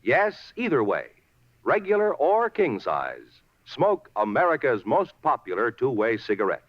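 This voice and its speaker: A man's voice: mid-range voice, huge pitch swings, a little slow, no room sound. A man announcing a 1950s radio commercial. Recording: muffled 1950s radio recording.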